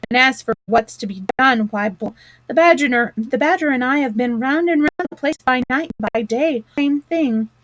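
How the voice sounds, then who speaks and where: A woman voicing an eager, excitable male mole in a storybook, full of exclamations. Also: high voice, moderate pace, background noise, natural voice. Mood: grumpy. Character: hungry eager male mole, grumpy at times.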